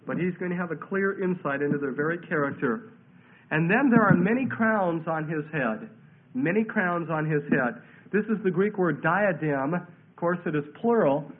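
A man preaching a verse-by-verse Bible sermon, congested from a head cold, emphatic and declamatory, repeating phrases for weight. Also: on the high side. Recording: thin phone-like radio sound.

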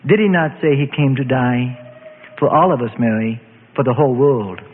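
A man voicing a calm young male disciple in a dramatized story, speaking lower and gently consoling. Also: moderate pace, faint music behind, natural voice. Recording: tinny phone-like sound with long reverb.

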